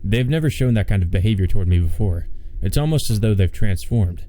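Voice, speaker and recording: Low, mellow-voiced man, game playthrough. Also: animated. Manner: halting, repetitive stammer in asides. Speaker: let's play host. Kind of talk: reading visual novel aloud with casual commentary.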